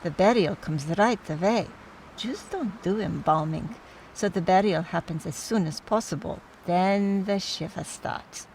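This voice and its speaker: A woman acting in an audio drama as a wry, skeptical mourner, talking in a measured, conversational way over background noise. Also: lowish voice, darkish tone, rough recording. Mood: explaining, wry.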